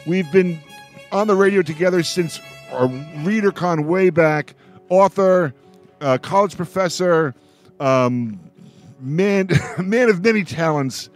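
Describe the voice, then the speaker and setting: A man's voice: high voice, takes it slowly, faint music behind. A man hosting a roundtable in a playful, showman-like way, with joking announcements and some hesitations. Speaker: radio show host. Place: radio show held over a video call.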